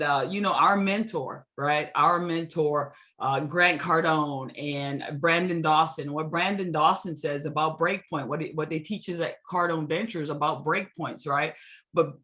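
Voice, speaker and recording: A woman's voice: low voice, fairly bright tone. Eager middle-aged woman from Hawaii presenting. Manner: enthusiastic, many restarts. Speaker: guest speaker. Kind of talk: webinar presentation. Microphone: video call.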